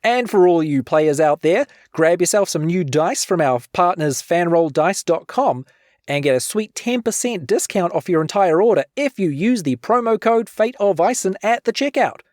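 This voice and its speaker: A woman's voice: fairly low pitch, moderate pace, dry recording, clean studio sound. A woman with a New Zealand accent hosting a podcast in a playful, theatrical, cheeky manner. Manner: animated, cheeky. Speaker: podcast host.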